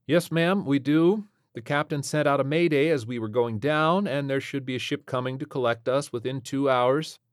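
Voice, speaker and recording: A weary female spaceship officer, read by a man, lifted slightly high. She is sardonic, firm and deadpan, a curt storybook heroine recorded dry and close. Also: moderate pace, few pauses, no room sound, close to an everyday voice. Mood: resigned.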